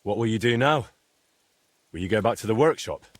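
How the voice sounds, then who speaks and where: An actor playing a sensible, helpful adventurer in a video game, speaking in a frank, questioning and wry manner. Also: male voice, on the low side, lively intonation, fairly quick, no echo. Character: sensible, helpful young male adventurer with dry wit. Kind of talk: video game dialogue acting.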